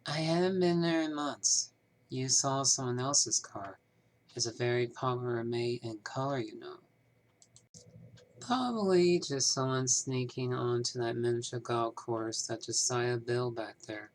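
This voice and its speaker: A man voicing an evasive, troubled small-town bank manager in a game, speaking in a measured, formal way. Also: mid-range voice, lightly acted, poor audio. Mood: defensive. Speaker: amateur voice actor. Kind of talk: scripted game character dialogue, fan performance.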